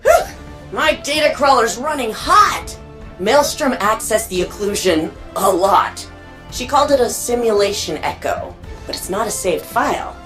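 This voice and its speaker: Lively, geeky young archivist woman. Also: fairly high pitch, unhurried, soft background music. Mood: intrigued. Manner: chatty, informal, wry, geeky. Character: quirky, eager, nerdy young woman archivist and librarian. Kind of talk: video game character dialogue.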